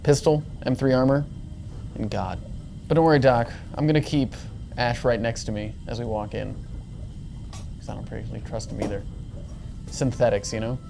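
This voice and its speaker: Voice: mid-range voice, fairly even intonation, normal speed, creaky, long pauses, noisy background. A tabletop game player bantering casually in a wry, joking manner. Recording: background music under the voice.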